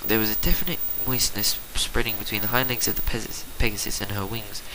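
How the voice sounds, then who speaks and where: A man's voice: fairly low pitch, fairly even intonation, brisk. Theatrical man narrating, with noticeable hiss. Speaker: reader of fan fiction. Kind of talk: amateur dramatic story narration. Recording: clearly hissy home recording.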